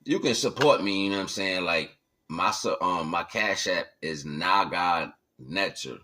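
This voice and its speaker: Real low voiced guy just chatting on his stream, slangy and informal, keeps checking in with whoever's watching. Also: unhurried, sharp tone. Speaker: livestream host and hobby musician. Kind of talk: casual livestream chat.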